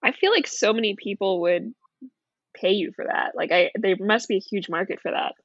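A woman's voice: medium pitch, no echo. Teasing woman on a dull, dry mic. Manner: quick, giggly, teasing. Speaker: podcast guest, advice writer. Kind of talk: casual podcast chat.

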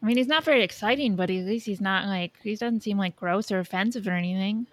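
Woman, mid-pitched, casual co-host. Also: quick pace, no echo. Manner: informal, thinking aloud. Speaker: podcast co-host. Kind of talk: casual fan podcast chat.

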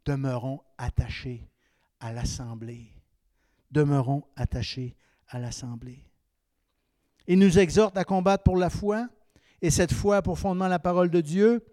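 A man in his fifties with a Québécois French accent, preaching a sermon that swings between raised exhortation and quiet, confiding asides. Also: on the high side, fairly even intonation, a little slow, pauses often, dry recording, clean studio sound. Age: 50s.

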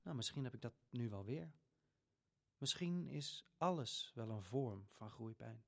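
An actor playing a gloomy, resigned teenage boy in a musical family audio play, moping and deadpan. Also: medium pitch, a little slow, creaky. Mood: sullen.

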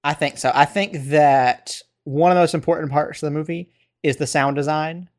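An American man chatting casually and telling stories in a rambling, animated way. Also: fairly high pitch, normal speed, dry recording, clean studio sound. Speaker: podcast co-host. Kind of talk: casual chat, storytelling.

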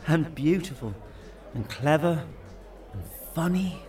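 A man's voice: fairly high pitch, expressive, slow pace, darkish tone, recorded from a distance, faint music behind. An actor playing a man's mischievous, mouthy inner voice in an audio drama, blunt and interjecting. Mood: smitten. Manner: blunt, mocking, interjecting asides. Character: mischievous, mouthy inner voice of a nervous man on a date.

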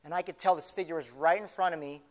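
Man playing a fervent blind beggar, high-pitched, halting first-person storytelling, big reverberant hall. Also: natural voice. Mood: awed, tender. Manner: halting, repeats phrases, conversational storytelling. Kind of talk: first-person dramatic monologue, retelling a Bible story. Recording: live in a large reverberant hall.

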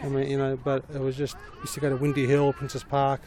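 A man in his forties, a junior football coach who grew up in Melbourne after arriving from Lebanon, answering in a relaxed, rambling way full of fillers. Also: medium pitch, fast. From Lebanon, raised in Melbourne. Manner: rambling, many fillers and restarts, easygoing. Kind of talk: casual interview answers. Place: outdoors at a football ground. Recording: outdoor recording with crowd behind.

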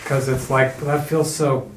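A man's voice: mid-range voice, little pitch movement, a little slow. Man, casual podcast chat, blunt and profane, roomy sound, faint hiss. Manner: blunt, swears freely, rambling restarts. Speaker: podcast co-host.

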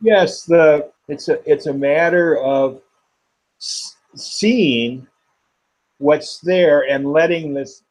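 A man, a poet and guest, speaking slowly and haltingly with many fillers in an interview. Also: a little high, a little mellow. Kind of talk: interview and poetry reading.

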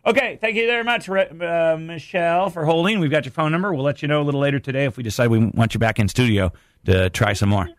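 A man's voice: fairly high pitch, quick pace, no echo, clean studio sound. An American man hosting a morning radio show, bantering casually live with callers. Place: radio studio.

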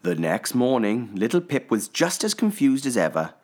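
A man narrating a children's story expressively, with theatrical phrasing and deliberate pauses. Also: mid-range voice, very animated.

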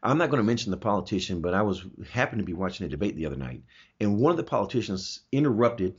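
A man with a husky voice hosting a talk show, explaining his opinions emphatically and posing rhetorical questions in a conversational way. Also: on the low side, sing-song, fast. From Germany. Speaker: talk show host answering listener questions. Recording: dull, lo-fi audio.

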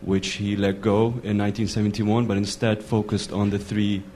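A man's voice: lowish voice, flat delivery, moderate pace, mellow, slight background noise. A man in a lecture audience asking a long question, hesitant with many fillers. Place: lecture hall.

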